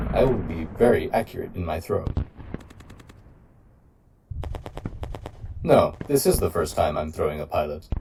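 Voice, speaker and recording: A huge battle robot played by a man speaks to a human partner in a low, creaky voice. The delivery is formal, measured and protective, in the manner of a sci-fi audio drama. It sounds set back from the mic amid clear noise. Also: mellow, far from the mic, rough recording. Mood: resolute. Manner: formal, measured, literal-minded. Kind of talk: scripted audio roleplay addressed to the listener.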